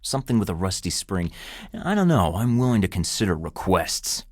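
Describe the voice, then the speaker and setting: A deep-voiced, cocky American spy. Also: male voice, sing-song, moderate pace, no echo, clean studio sound. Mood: threatening. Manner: wisecracking, flirty and menacing by turns. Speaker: game protagonist. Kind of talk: video game voice acting.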